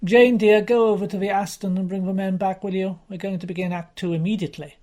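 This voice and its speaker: Woman doing a brisk, commanding producer man. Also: mid-range voice, fairly even intonation, warm tone, voice pushed up, close to an everyday voice.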